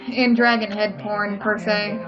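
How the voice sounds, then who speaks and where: A woman's voice: medium pitch, little pitch movement, unhurried. A woman co-hosting a let's play, chatting casually in a blunt, opinionated way and swearing freely. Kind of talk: casual gaming commentary. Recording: dull lo-fi mic, loud music behind. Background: game music.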